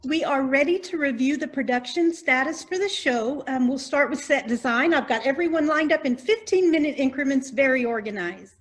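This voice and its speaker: Casting: actress as bossy, orderly woman in farce, high voice, firm and patient with muddled colleagues. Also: expressive, brisk, hardly any pauses. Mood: brisk, firm. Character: organized, bossy but patient woman board member. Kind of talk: farcical comedy acting.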